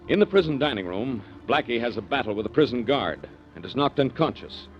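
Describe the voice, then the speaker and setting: A man narrating as a radio announcer in a measured, dramatic 1940s style. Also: mid-range voice, very animated. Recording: dull vintage radio recording. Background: music.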